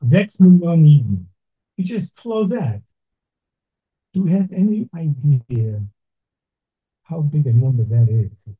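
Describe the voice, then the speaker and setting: An older man from Brooklyn, giving a slow, deliberate, reflective talk with pauses. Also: fairly high pitch, husky. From Brooklyn, New York. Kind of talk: measured reflective address. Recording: tinny phone-like sound.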